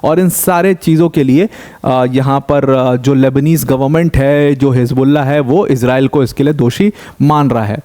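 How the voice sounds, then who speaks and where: A man's voice: medium pitch, fairly even intonation, moderate pace, mellow. A young man giving a conversational current affairs explainer, asking rhetorical questions and mixing in English terms. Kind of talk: explainer news commentary.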